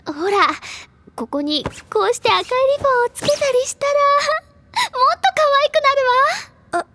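Bubbly, very high anime schoolgirl. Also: sing-song, moderate pace, a bit bright, slight background noise, heavily acted. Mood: gushing. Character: bubbly, doting teenage schoolgirl. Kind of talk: anime-style audio drama acting.

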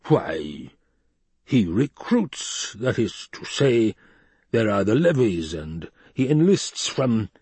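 A man's voice: medium pitch, animated, moderate pace, darkish tone, husky, no room sound, voice pushed up. A man voicing a fat, scheming baron in an audiobook, pompous, blustering and petulant. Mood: petulant, evasive. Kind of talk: dramatized audiobook reading.

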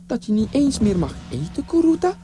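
A sly village wife from a humorous folktale, acted out by a man, pitched well up high. She sweetly wheedles and exclaims in feigned innocence, her tone swooping about. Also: sing-song, moderate pace, warm tone. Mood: sweetly teasing.

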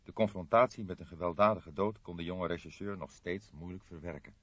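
A man's voice: low-pitched, fairly quick, a bit bright. A man narrating a crime novel as a scripted, dramatic audiobook reading. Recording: roomy echo, slightly muffled audio.

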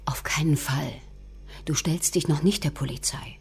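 Low, mellow, resolute female ally. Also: fairly even intonation, normal speed, gravelly. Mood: conspiratorial. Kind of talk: German radio drama acting.

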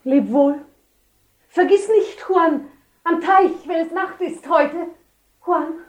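High-voiced, anxious young sweetheart. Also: female voice, takes it slowly. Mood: yearning. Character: anxious, yearning young woman in love. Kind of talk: radio drama acting.

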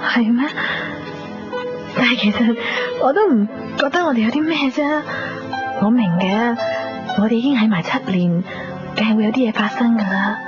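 A woman's voice: fairly high pitch, moderate pace, lightly acted. An actress playing a devoted, anxious young woman in a Hong Kong Cantonese radio drama, pleading and hesitant. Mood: anxious, reasoning. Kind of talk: 1990s radio drama acting. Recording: dull 1990s radio drama recording. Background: music.

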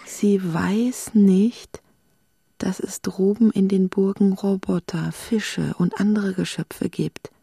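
A woman playing a hushed, brooding narrator in a radio drama, speaking scripted lines in a breathy whisper. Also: mid-range voice, steady tone, slow, dry recording, full character voice. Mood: hushed, intense.

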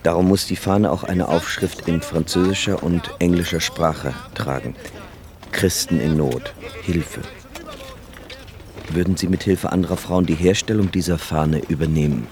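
An actor playing a solemn, courteous clergyman in a radio play, with formal, measured and grave speech. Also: low voice, fairly even intonation, crowd noise.

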